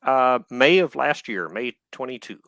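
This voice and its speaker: A man in his sixties from Texas with a Southern accent, an author answering interview questions in a live video chat, unhurried, pausing on ums, with dry humor. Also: medium pitch, expressive, a bit bright, no echo. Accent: Southern American. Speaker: author guest. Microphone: video call.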